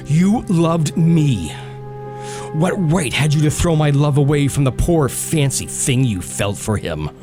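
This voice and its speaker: A man's voice: fairly high pitch, animated, measured pace. Man acting an anguished lover, wildly swooping voice. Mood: bitter reproach. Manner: tormented, intense, brooding. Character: anguished, bitter, passionate grieving male lover. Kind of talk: melodramatic literary audio drama acting. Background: dramatic music.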